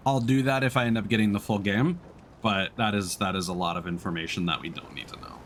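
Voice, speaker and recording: A man giving casual let's-play commentary as he streams a game, thinking aloud in a halting way. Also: on the low side, a bit bright, slight background noise. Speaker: streamer playing a video game.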